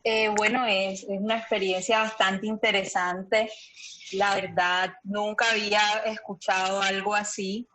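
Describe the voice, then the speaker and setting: A woman's voice: mid-range voice, level tone, unhurried, bright, forceful tone, a little hiss or hum, poor audio. A woman with a Colombian accent giving casual, hesitant feedback as an audience member on a video call.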